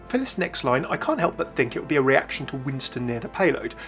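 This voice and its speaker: A man's voice: mid-range voice, very animated, quick pace, fairly bright tone, soft background music. A young man hosting a gaming video with scripted narration. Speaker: YouTube gaming host.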